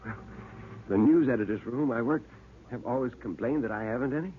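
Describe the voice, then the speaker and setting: A man playing an uneasy, politely hesitant journalist trying to sound calm, in classic radio horror style. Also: mid-range voice, a little fast, lightly acted. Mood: casual bravado. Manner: hesitant, stammering, politely understated. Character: uneasy male journalist bluffing calm in a waxworks. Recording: muffled narrow-band old radio recording.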